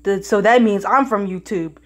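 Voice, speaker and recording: A woman voicing a calm, unbothered man toy in a homemade skit, speaking flat and dry. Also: medium pitch, moderate pace, lightly acted. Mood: deadpan. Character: calm low-voiced male YouTuber toy.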